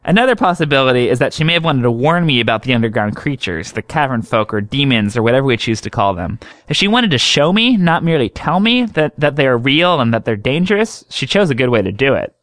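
A man's voice: medium pitch, lively intonation, quick pace, hardly any pauses, fairly bright tone, no room sound, studio quality, voice pushed up, lightly acted. A man doing an earnest young dreamer, reasoning. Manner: thoughtful, reasoning.

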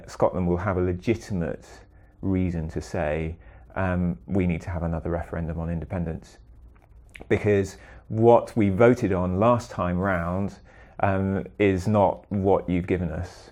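A man's voice: low-pitched, a little mellow. A man from Britain discussing viewer questions in a conversation, hesitant, with many ums, building his points step by step.

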